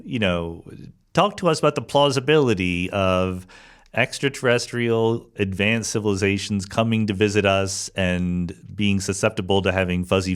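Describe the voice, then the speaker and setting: A man's voice: low-pitched, fairly bright tone, smooth. A man hosting a podcast interview in a conversational, informal way with wry, playful phrasing. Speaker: podcast host.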